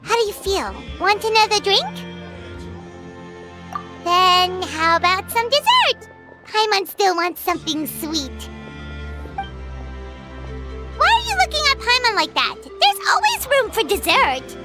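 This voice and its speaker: Tiny fairy sidekick, childlike squeak, very high and bouncy, perky game character, music behind. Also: female voice, big pitch swings, unhurried, pauses often, heavily acted. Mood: cheerful. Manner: perky, speaks of self in third person. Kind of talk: video game voice acting.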